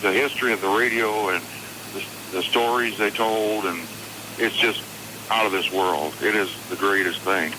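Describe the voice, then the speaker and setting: A man in his forties calling a radio show, chatting casually in a hesitant, halting way. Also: on the low side, fairly even intonation. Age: 40s. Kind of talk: casual phone-in chat. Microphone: telephone. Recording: noisy phone call on radio.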